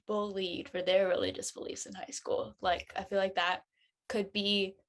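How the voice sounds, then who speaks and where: Woman, mid-range pitch, casual panelist, informal, tentative, searching for words. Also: flat delivery, moderate pace. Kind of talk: casual discussion, thinking aloud.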